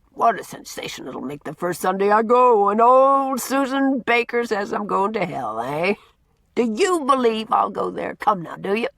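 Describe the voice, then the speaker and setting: Lady doing a gruff old guy in a storybook, booming, bossy, repeats himself a lot, dry close mic. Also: fairly bright tone, no echo. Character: blustering gruff old man, loud and bossy.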